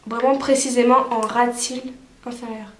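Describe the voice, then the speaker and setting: A woman's voice: fairly high pitch, steady tone, moderate pace, a bit bright, echoey room, slight background noise. A woman vlogger with a cold, chatting casually through a makeup tutorial with many fillers. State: stuffy with a cold. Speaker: beauty vlogger.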